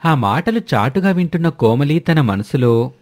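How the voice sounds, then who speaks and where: A man's voice: fairly high pitch, very animated, moderate pace, a little mellow. A man narrating a scripted moral story as its storyteller.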